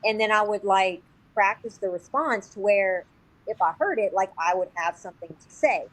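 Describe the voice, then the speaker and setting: Woman on a podcast, casual, says like a lot, normal pitch, explaining with little quoted bits. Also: moderate pace, fairly bright tone, low-quality recording. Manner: informal, heavy use of "like", acts out short quotes. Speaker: sales agent, podcast guest.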